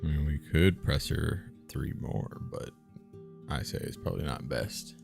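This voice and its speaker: A man's voice: rumbling low, measured pace, vocal fry, music in the background. A man reading a fantasy game's narration aloud on a live stream, mixed with casual banter.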